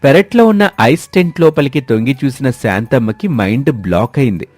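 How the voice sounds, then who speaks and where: A man's voice: mid-range voice, expressive, a little fast, a little mellow, no echo, soft background music. Male story narrator, close and dry. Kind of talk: scripted storytelling narration for a cartoon.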